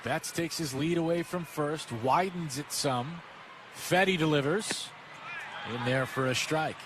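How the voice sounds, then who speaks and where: A man's voice: a little high, takes it slowly. A man with an American accent calling a baseball game live as a radio play-by-play announcer. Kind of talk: live radio sports commentary. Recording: clear crowd and ballpark noise behind. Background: outdoor ballpark ambience.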